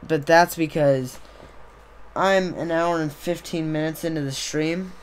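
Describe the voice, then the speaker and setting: A young man, slightly hoarse, chatting casually on a live stream into a desk mic on a boom arm, rambling with many fillers. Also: fairly even intonation, unhurried, very noisy. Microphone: desktop USB mic on a boom arm.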